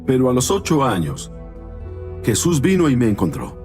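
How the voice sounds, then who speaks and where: A man from Panama speaks earnestly as an interview guest. His voice sits at an ordinary middle pitch, and he tells his story in halting phrases. Soft music plays behind him. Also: huge pitch swings. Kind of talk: telling his life story.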